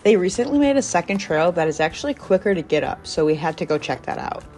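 A woman's voice: lowish voice, big pitch swings, fast talker, darkish tone. A woman narrating her road trip travel vlog in an easygoing, enthusiastic voice-over. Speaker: travel vlogger. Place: voice-over recorded apart from the scenes.

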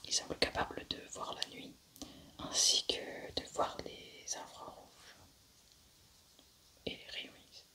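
A young adult woman whispers breathily in an ASMR roleplay, narrating lab work as a scientist in an unhurried, methodical way. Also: bright, forceful tone. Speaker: ASMR creator playing a scientist doing an autopsy.

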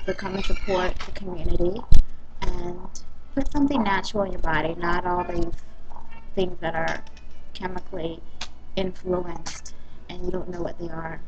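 A woman's voice: fairly low pitch, noisy background. A young woman with a light, girlish voice, chatting on a webcam in a casual, upbeat vlog with frequent ums, seated in a coffee shop. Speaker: dance company director and vlogger. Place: small indoor room, coffee shop. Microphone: built-in webcam mic.

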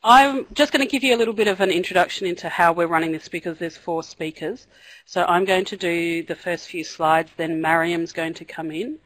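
A woman opening a webinar talk, brisk and chatty with fillers. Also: lowish voice, poor audio. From Australia. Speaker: conference presenter. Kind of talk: webinar introduction. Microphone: computer mic in a web meeting.

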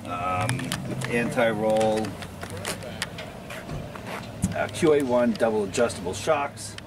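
A man's voice: fairly low pitch, lively intonation, takes it slowly. A man, a car owner talking casually about his car at an outdoor show and listing parts matter-of-factly. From Long Island, New York. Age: 40s. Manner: rattles off technical parts lists matter-of-factly. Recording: outdoor noise behind.

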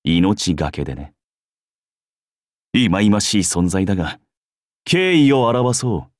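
An actor playing a cocky young genius schemer in a game, with a wry, theatrical manner. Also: male voice, deep voice, sing-song, takes it slowly, no room sound, studio quality, full character voice. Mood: composed, wry. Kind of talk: game character acting.

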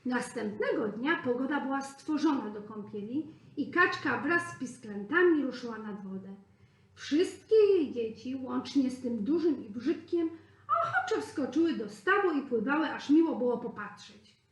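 A woman reading a fairy tale aloud to preschool children in an expressive, sing-song way. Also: high-pitched, moderate pace. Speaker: storyteller at a preschool. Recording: echoey room.

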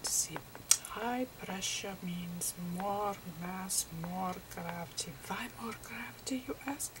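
A woman voicing Einstein as a gentle, eccentric old physicist in a whispery, halting ASMR lecture with repetitive professorial asides. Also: fairly low pitch, background noise.

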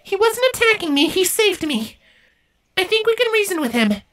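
A man voicing a young female changeling creature in a storybook reading, earnest, brave and pleading, in a squeaky falsetto. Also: very animated, moderate pace, a bit bright, full character voice.

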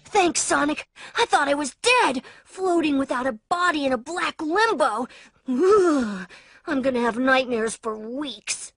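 A woman's voice: sits high, animated, unhurried, fairly bright tone, no room sound, clean studio sound, exaggerated voice. A woman voicing an earnest, eager little boy fox sidekick in a video game. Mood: uneasy, curious. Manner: childlike, earnest. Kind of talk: video game cutscene acting. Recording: music behind the voice.

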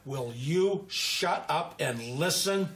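Earnest older American man, unhurried. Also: on the high side, expressive, a bit bright, soft background music. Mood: exasperated. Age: 60s. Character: earnest, guilt-ridden older man, loyal old friend. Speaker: actor. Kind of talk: naturalistic film dialogue.

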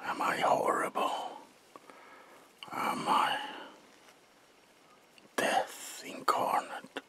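A man playing a melancholy, paranoid vampire ghost of an asylum in a breathy, theatrical ASMR whisper, close to the mic. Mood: paranoid, wounded. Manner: slow, brooding, theatrical. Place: small dim indoor room.

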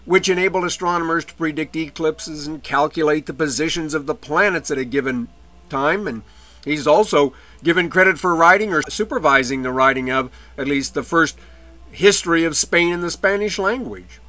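A man giving an illustrated history lecture in a measured way, with the odd wry aside. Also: a little high, bright, forceful tone. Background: faint medieval music.

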